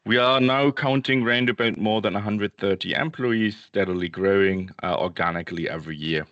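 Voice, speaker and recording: Low-voiced man presenting, halting delivery. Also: a bit bright, no echo, highs cut off. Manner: halting, hesitant delivery with many ums and uhs. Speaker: company representative, webinar presenter. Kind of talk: informal product presentation. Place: indoors, Berlin office.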